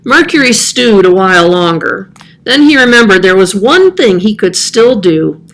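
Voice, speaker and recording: A woman's voice: medium pitch, sing-song, normal speed, fairly bright tone. A woman reading a children's story aloud as scripted narration. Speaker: storybook reader.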